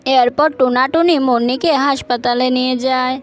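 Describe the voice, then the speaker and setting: A woman's voice: high voice, fairly even intonation, normal speed, faint music behind. A woman narrating a scripted cartoon tale for children as a storyteller.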